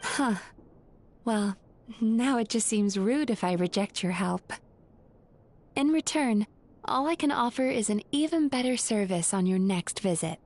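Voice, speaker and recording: A woman voicing a calm, polite adult woman who runs a hot spring. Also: a little high, a little slow, slight background noise. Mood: composed.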